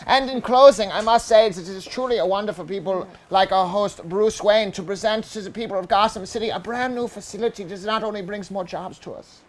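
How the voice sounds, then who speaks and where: A man's voice: high voice, a little fast, hardly any pauses, natural voice, poor audio. A man playing a pompous city mayor, speechifying in an amateur audio drama. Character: pompous city mayor, middle-aged man, glad-handing politician. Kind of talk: amateur script read over voice chat.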